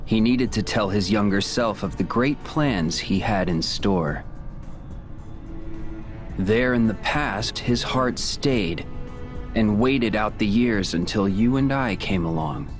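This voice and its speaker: An actor playing a cold, smug young male villain in a video game, explaining and taunting in measured lines. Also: fairly low pitch, faint music behind. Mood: cold, explanatory. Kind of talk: dramatic video game cutscene acting.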